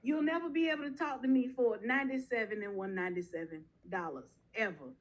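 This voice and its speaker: Woman, coach, direct and punchy, a little high, casual pitch. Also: fast talker. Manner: direct, punchy, says "y'all". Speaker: guest speaker, mobile home investing coach.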